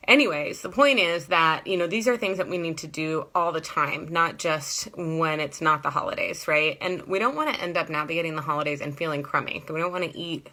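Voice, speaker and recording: A woman with a low-pitched yet bright, forward voice, slightly congested from a cold. She chats casually into her phone as a wellness coach on a live stream, rambling and scattered with little laughing asides. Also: fairly quick, few pauses.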